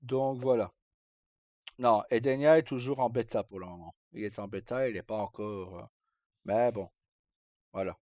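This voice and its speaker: A man's voice: fairly low pitch, normal speed, pauses often, a little mellow, no echo, muffled recording. A man hosting a livestream, chatting informally and casually with some mild swearing. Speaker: livestream host. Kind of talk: casual chat.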